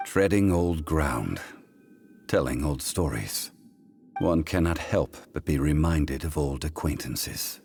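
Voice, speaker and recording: An actor playing an ancient, calm god in human guise in a video game, speaking formally and gravely in measured phrases. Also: male voice, a bass voice, soft background music, heavily acted. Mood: calm, reflective.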